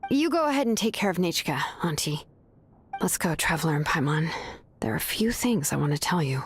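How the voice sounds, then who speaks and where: A woman's voice: sits low, brisk, slight background noise. Laid-back young craftswoman. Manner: relaxed, casual storytelling. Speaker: artisan and name engraver. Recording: game audio with clear background music.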